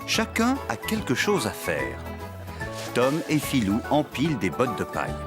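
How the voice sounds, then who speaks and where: A man's voice: mid-range voice, huge pitch swings, measured pace. A man narrating a children's cartoon story from a script. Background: prominent music.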